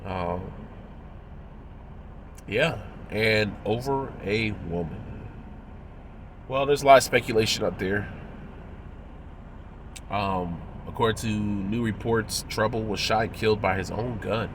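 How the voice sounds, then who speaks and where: A man in his thirties, his voice low and thick with congestion, talks at a deliberate pace with frequent pauses. He moralizes like a preacher giving a lesson. A faint background noise sits under the recording. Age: 30s. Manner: measured, moralizing, pausing often. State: congested and nasal from a cold, coughing. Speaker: religious commentator. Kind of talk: preachy commentary video.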